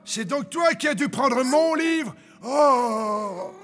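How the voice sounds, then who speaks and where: High-pitched, flustered cartoon employee. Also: male voice, animated, takes it slowly, heavy background noise, exaggerated voice. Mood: explaining urgently. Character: eager, flustered hotel employee, adult male. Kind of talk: French cartoon dub acting.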